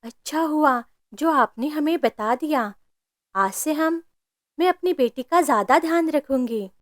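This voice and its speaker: Woman voicing a high-pitched, devoted mother bird. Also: measured pace, no room sound, clean studio sound. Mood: protective. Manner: tender, emphatic, indignant when her child is threatened. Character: loving, protective mother bird, adult female, fiercely devoted. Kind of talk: Hindi cartoon story voice acting.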